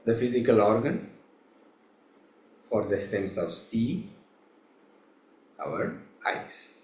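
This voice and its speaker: A man guiding a meditation as a teacher, speaking in measured, halting short phrases with long pauses between them. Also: fairly low pitch, animated. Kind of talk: slow guided meditation instruction. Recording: roomy, echoing room sound.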